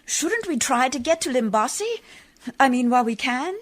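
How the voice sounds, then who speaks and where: A woman acts out a proper, devout missionary lady in an old-style radio play. Her voice is fairly high and swoops with emphasis, always genteel and formal. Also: very animated, normal speed. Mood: alarmed.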